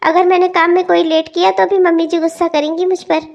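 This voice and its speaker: A woman's voice: very high-pitched, level tone, quick pace, creaky, a little mellow. A woman playing a meek, dutiful young bride, earnest and plaintive, in a melodramatic family story.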